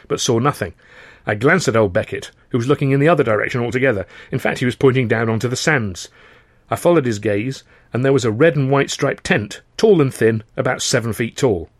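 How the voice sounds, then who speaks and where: A man's voice: mid-range voice, no room sound, clean studio sound. A man narrating a novel as a dramatised audiobook, with wry, wordy expression. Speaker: author reading his own novel.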